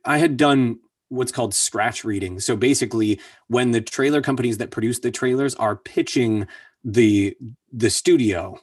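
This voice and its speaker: A man's voice: mid-range voice, normal speed, breathy edge, no room sound. A man who works as a voice actor, talking in a casual interview and telling his story earnestly, repeating words for emphasis. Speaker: voice actor guest. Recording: distant mic, dry sound.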